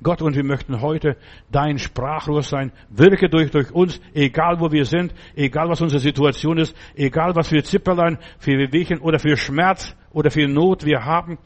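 Man, preacher, fervent sermon, slightly high voice. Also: dull audio. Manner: emphatic, fervent, exclaims names loudly. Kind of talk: sermon and prayer.

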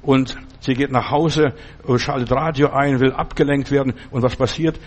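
A man's voice: medium pitch, steady tone, moderate pace. A man preaching a sermon as a pastor, telling his own story with quoted dialogue and frequent restarts.

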